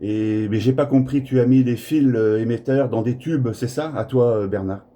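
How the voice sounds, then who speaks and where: A man's voice: medium pitch, normal speed, warm tone. A man chatting casually as an amateur radio operator, hesitating and repeating words. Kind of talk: casual radio contact chat. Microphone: smartphone recording in the room.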